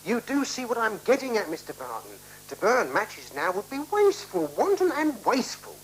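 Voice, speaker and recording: A prim, genteel woman in a 1970s radio drama, coaxing and teasing while staying coolly evasive. Her pitch is very varied and expressive. A faint hiss runs under the old broadcast. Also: moderate pace, rough recording. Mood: teasing, withholding. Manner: genteel, coaxing, patronizing, playing with words. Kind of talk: 1970s radio play acting.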